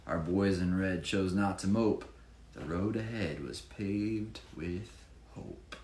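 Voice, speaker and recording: A man's voice: low-pitched, takes it slowly, darkish tone. A man, a children's book author, reading a rhyming picture book aloud with dramatic emphasis and informal asides. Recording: echoey room, faint background noise.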